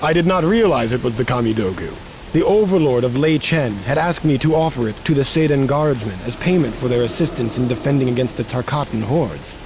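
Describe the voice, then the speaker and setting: An actor playing an honorable martial artist hero in a video game, speaking formally, earnestly and politely. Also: male voice, mid-range voice, lively intonation, fairly quick, background noise, close to an everyday voice. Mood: respectful concern. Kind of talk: video game cutscene acting.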